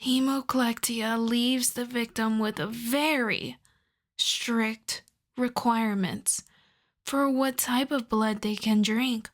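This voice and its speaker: Slow, high-voiced obsessive vampire woman played by an actress. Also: fairly even intonation. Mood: confiding, possessive. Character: obsessive, starved yandere female vampire lab technician. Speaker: ASMR roleplay voice performer. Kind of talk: ASMR roleplay monologue to the listener.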